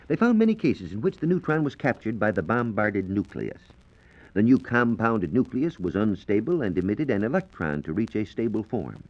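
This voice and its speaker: A man with an American accent giving a formal, explanatory scripted science lecture as a 1940s radio reporter. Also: fairly low pitch. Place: radio studio. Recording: dull, lo-fi 1940s radio recording.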